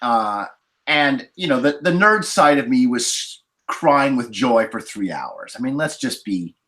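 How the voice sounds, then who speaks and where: Animated, bright-voiced man on a podcast. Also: mid-range voice, unhurried. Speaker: podcast panelist reviewing films. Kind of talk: casual roundtable discussion.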